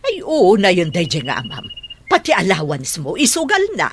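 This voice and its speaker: A woman's voice: lowish voice, wildly swooping pitch, normal speed, a bit bright, slight background noise. A woman with an Ilocano accent playing a concerned, matter-of-fact aunt in a radio drama.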